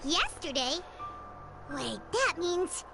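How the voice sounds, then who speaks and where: A woman voicing a small, excitable fairy companion with a squeaky childlike voice in a video game. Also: slow, gravelly, exaggerated voice. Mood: uneasy, surprised. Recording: game music behind.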